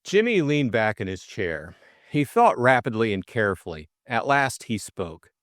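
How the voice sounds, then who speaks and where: A man's voice: mid-range voice, sing-song, normal speed, a bit bright, no echo, clean studio sound. A man giving scripted narration of a classic story as an audiobook narrator.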